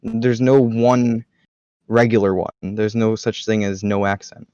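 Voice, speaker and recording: A man's voice: on the low side, completely flat delivery, a little slow, a little mellow, clean tone, dry recording, highs cut off. An American man hosting a podcast, chatting casually, telling anecdotes and laughing easily. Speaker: podcast host.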